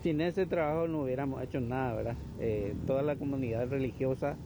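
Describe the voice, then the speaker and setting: A man's voice: mid-range voice, brisk, background noise. A man speaking earnestly in a street interview outside a government office. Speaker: chapel community member. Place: outdoors leaving a government office.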